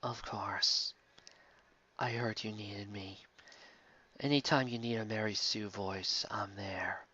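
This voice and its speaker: A self-satisfied Mary Sue heroine, acted out by a man for a comic story reading. The voice is low, slow and cool, with a bright edge. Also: fairly even intonation, husky, lo-fi sound, full character voice. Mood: smug, hushed.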